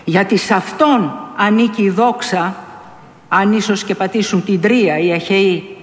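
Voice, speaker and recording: A woman's voice: mid-range voice, close to an everyday voice. Woman playing a firm, level-headed warrior hero, echoing hall. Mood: stern, rallying. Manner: firm, measured, commanding. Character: brave, loyal, level-headed male warrior hero of ancient epic. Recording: echoey hall with long reverb.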